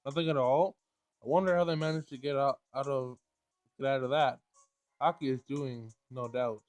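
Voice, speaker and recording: A man doing a casual game playthrough, reading dialogue aloud and thinking out loud in informal, slangy language. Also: medium pitch, steady tone, moderate pace, no room sound, faint music behind. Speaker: let's play gamer.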